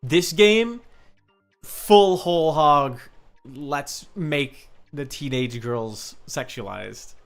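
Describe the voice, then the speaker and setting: A man's voice: on the high side, animated, very slow. Opinionated male streamer over game music. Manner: opinionated, emphatic. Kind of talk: casual chat and game commentary.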